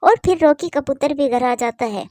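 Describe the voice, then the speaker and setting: Female narrator, high voice, scripted cartoon storytelling, close dry recording. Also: moderate pace, mellow, no room sound.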